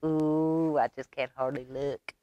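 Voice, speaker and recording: A woman with a Southern American accent telling a children's story in an expressive, folksy way, with little asides. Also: low voice, highs cut off, heavy background noise. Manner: expressive, folksy, unhurried, dramatic whispers and asides. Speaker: library story time host.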